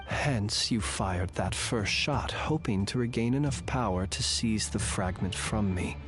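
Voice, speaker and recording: Composed, dry-witted game man, male actor: deliberate, slow delivery, fairly low register. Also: music in the background, lightly acted. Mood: cool, wry. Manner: measured, wry, deliberate. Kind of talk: dramatic game voice acting.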